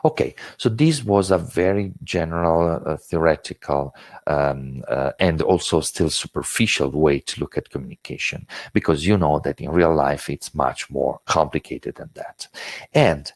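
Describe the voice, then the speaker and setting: A man with an Italian accent presents an online lecture, his very low voice thick with gravel and a husky edge. He hesitates often, filling gaps with ums and restarting his sentences. The sound is close and dry, with no room around it. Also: moderate pace, no echo. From Italy. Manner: hesitant, many fillers and restarts. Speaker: webinar presenter.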